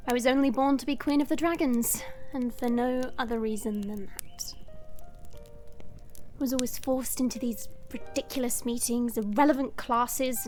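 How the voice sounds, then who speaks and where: A woman's voice: high-pitched, natural voice. A woman playing a proud, burdened young dragon princess in an audio roleplay, with formal, regal diction.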